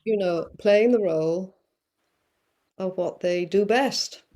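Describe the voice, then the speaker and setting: A woman guest musing through spiritual ideas, with many fillers and pauses. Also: medium pitch, mellow. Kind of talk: reflective spiritual talk.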